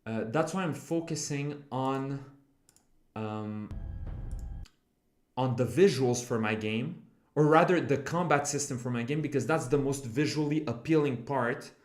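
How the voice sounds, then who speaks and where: A man's voice: medium pitch, echoey room. Rambling young man streaming at home. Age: 20s. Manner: rambling, filler-heavy, swears often, talks to chat. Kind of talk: casual live-stream chat. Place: home room.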